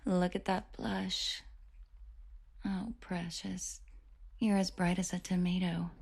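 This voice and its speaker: Villainess lover , played by a woman, for romance audio: confident, protective, teasing, intimate, unhurried and husky. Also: on the low side, little pitch movement, darkish tone, heavily acted. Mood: seductive, teasing. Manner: intimate, teasing, sweet-talking with breathy whispers. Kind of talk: scripted romantic audio roleplay.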